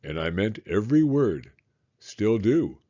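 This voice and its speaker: A man voicing a gentle father in a storybook, speaking calmly and tenderly to reassure his young son. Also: on the low side, measured pace, lo-fi sound, pitched below the performer's own voice. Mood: warm agreement.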